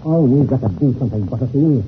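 An actor playing an eager, impatient young adventurer in a 1930s radio drama. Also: male voice, medium pitch, quick pace, lightly acted. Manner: impatient, excitable. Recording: tinny, hissy old radio recording.

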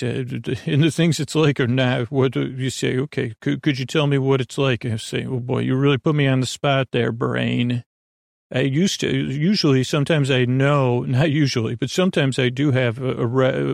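Creaky, meandering man hosting a sleep podcast. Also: mid-range voice, fairly even intonation, no room sound, studio quality. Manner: meandering, repetitive, halting, drawn-out words. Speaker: podcast host. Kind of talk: rambling bedtime sleep-podcast monologue. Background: music.

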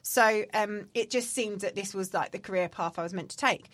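A woman's voice: medium pitch, moderate pace, no room sound. A woman in her thirties, chatting candidly as a podcast co-host with lots of fillers. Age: 30s. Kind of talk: casual chat.

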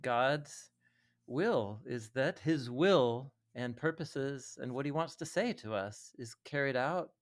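A man's voice: mid-range voice, expressive, takes it slowly. A man talking as a podcast guest and Bible teacher, explaining things earnestly in a conversational way with frequent small chuckles.

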